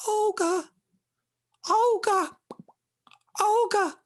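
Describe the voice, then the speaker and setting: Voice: very high voice, extremely slow, voice pushed up, heavily acted. A storyteller voicing a grateful, solemn river fish from a fairy tale, with a gurgly voice. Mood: urgent calling.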